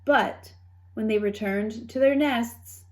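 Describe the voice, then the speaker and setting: Slow, high-voiced woman reading a storybook. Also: a little mellow. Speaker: storybook reader. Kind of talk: expressive children's read-aloud narration.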